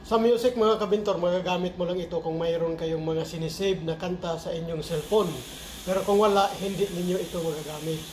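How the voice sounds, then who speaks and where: A man giving a casual vlog-style gear review in a halting, explanatory way, repeating key words. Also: sits high, fairly even intonation, a little mellow. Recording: clearly noisy amateur recording.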